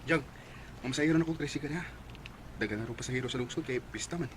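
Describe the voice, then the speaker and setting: A man's voice: medium pitch, fast, soft background music, natural voice. A man playing an irresponsible, sheepish gambling husband who drives a tricycle, in a Filipino radio drama with a Cebuano accent. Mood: casual.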